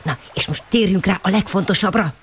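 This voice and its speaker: A male actor playing a bossy, energetic teenage boy in a Hungarian radio drama, briskly giving his friends orders. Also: sits high, breathy edge, full character voice. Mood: commanding. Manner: brisk, commanding. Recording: noisy 1980 radio play recording.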